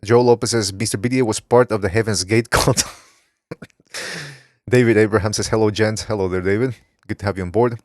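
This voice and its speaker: Low-voiced man hosting a chatty call-in podcast. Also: fairly even intonation, fairly quick, long pauses, darkish tone, no room sound, clean studio sound. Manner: rambling, reads chat comments aloud. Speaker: podcast host. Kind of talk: casual talk show chat.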